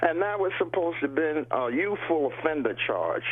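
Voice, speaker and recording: A man's voice: a little high, sing-song, husky. Older man on a tinny phone line. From United States. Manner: halting, repeats words, tells it plainly. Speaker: interview guest. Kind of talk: phone interview, casual storytelling.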